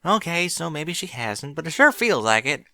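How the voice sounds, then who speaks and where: Spunky little filly pony girl, high and lively, eager and indignant, a guy putting it on. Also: normal speed, no echo, clean studio sound, heavily acted. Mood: wistful.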